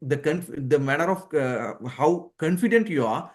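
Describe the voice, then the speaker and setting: Higher-pitched man, non-native English speaker. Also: expressive, normal speed. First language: not English. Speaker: guest, doctor who passed a surgical exam. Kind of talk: conversational interview answers.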